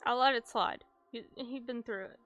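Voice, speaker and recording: A woman livestreamer reacting casually to a game, speaking in a halting, emotional way. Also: on the high side, fairly bright tone, highs cut off. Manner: halting, emotional, swears freely. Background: game music.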